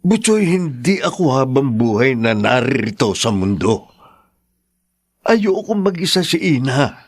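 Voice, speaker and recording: Ageing father, radio drama: resigned and earnest, expressive melody, close dry recording. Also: medium pitch, a little slow, no echo. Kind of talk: Tagalog radio drama acting.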